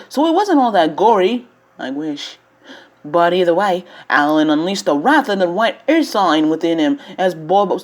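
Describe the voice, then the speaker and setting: A spirited woman reports on cartoon wrestling as played by a woman. She is blunt and gleeful, with widely swinging intonation as she recaps the action. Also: on the low side. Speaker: field reporter. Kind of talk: animated sports recap.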